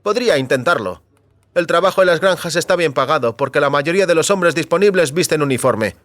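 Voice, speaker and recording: A man's voice: a little high, animated, brisk, a bit bright, dry recording, voice pushed up. A man voicing a friendly young waiter in an audio story, informative and helpful. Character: helpful young male café waiter in small town.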